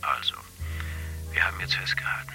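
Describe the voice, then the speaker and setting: An actor playing a fussy clerk who repeats each wish back in stiff bureaucratic phrases. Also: male voice, fairly quick, sharp tone, in a whisper, faint music behind, poor audio. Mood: officious. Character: fussy male clerk noting down wishes. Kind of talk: radio play acting.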